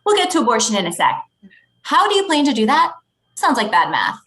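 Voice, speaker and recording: A woman playing an officious debate moderator in a comedic table read, formal and deadpan. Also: on the high side, a little fast. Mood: firm, deadpan.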